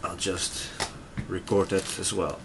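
Dutch guy doing a casual unboxing, deep voice, clear background noise on the camera mic. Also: level tone, takes it slowly. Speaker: tech reviewer. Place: indoors at a desk in a room.

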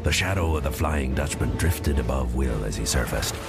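A man with a gravelly voice narrating a scripted adventure audio drama as a storyteller. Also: rumbling low, animated, normal speed. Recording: loud music under the voice.

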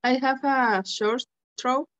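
Slow, halting Spanish-speaking woman reader. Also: high-pitched, steady tone, fairly bright tone, muffled recording. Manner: slow, halting reading with hesitations. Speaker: English student. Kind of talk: reading aloud in an online English lesson.

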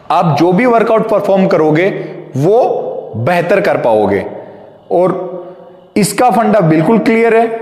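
Man, gym trainer, unhurried delivery, roomy reverb. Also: high-pitched, darkish tone. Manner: slow, deliberate, mixes English phrases. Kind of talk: fitness tips to camera. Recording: echoey hall reverb.

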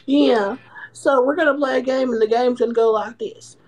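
A young man playing a sassy, sharp-tongued, bossy young woman persona in confrontational, foul-mouthed livestream comedy. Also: extremely high pitch, steady tone, moderate pace. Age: young adult. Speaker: livestream host. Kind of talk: improvised comedy livestream banter. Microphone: phone at arm's length.

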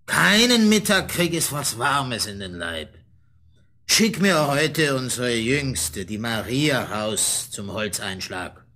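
A man's voice: mid-range voice, sing-song, slow pace, pushed and bright, heavily acted. An actor playing a stubborn, confident woodcutter father in a fairy-tale audio play, grumbling and emphatic.

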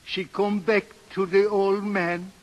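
A simple, loving old seaman. He speaks slowly in a high voice, in the manner of 1930s radio. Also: level tone, muffled recording, soft background music. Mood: fond, merry. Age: older. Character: simple, affectionate old Swedish seaman father. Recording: vintage 1930s radio broadcast.

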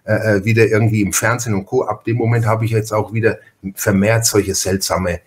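Male podcast host, lowish voice, chatty and halting, conversational delivery. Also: flat delivery. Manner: talkative, halting, repeats words.

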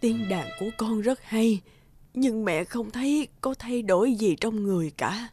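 A woman's voice: on the high side, a little slow, soft background music. An actress playing a tired, ill mother in a cartoon. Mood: weary. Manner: tired, soft. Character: sick weary mother.

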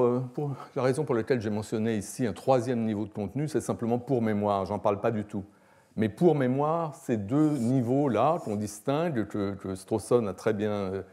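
A man, a philosophy professor giving an academic lecture in a measured, explanatory, hesitant manner. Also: mid-range voice, dark tone. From France. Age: 60s. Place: lecture hall, at a lectern.

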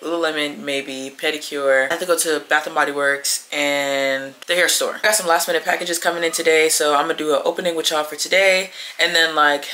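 A woman's voice: deep voice, sharp tone. A woman in her twenties chatting informally to camera in a casual vlog style. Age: 20s. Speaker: vlogger.

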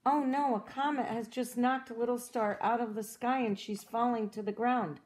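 A woman reading a children's storybook aloud as a cheerful young girl explorer, in a gentle, encouraging way that asks the listener questions. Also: fairly high pitch, fairly even intonation, normal speed, natural voice. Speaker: storybook reader.

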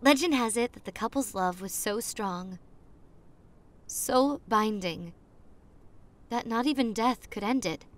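A young woman playing a popular college girl on a spooky romantic date, in an ASMR roleplay with a hushed, dreamy manner and dramatic pauses. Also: fairly high pitch, lightly acted. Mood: dreamy, storytelling. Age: young adult.

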